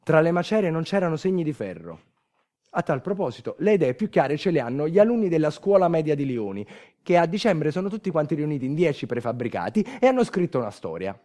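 A man performing a scripted stage monologue, dramatic, moving between hushed and emphatic delivery. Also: on the high side, very animated, quick pace. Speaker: stage actor, narrator of a monologue. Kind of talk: theatrical scripted storytelling.

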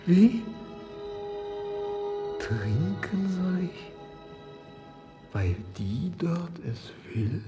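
An actor playing a tormented young man in a poetic radio drama, declaiming lyrically and breaking into whispers. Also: medium pitch. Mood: hushed, feverish. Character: tormented, haunted young man in feverish grief and dread. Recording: long reverberant hall, music behind.

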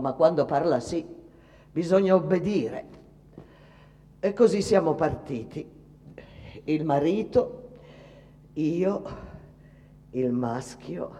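A woman's voice: low-pitched, mellow. An actress playing a bitter, weary Italian immigrant mother in a dramatic radio monologue, plain-spoken, rustic and emphatic. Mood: resentful. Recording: reverberant hall-like sound.

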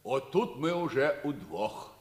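Jolly ukrainian army lad, folksy jokes, bouncy intonation, echoey old radio drama. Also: male voice, medium pitch, sing-song, slow. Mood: joking. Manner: folksy, jocular storytelling. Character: cheerful joking young Ukrainian Red Army soldier. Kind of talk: 1950s Soviet radio theatre acting.

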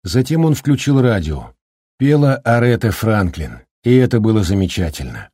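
A man narrating an audiobook in a low, dark voice with a slight husky grain. His delivery is even and measured, read into a close studio mic with a dry, dead sound. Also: dry recording. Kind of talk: scripted narration.

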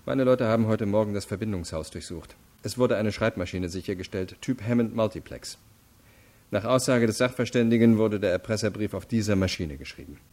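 An actor playing an unyielding deputy prosecutor in a radio crime drama, laying out facts in a firm, deliberate way. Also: male voice, lowish voice, darkish tone, lightly acted. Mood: firm, methodical. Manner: matter-of-fact, deliberate, firm. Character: methodical, unyielding male deputy prosecutor interrogating.

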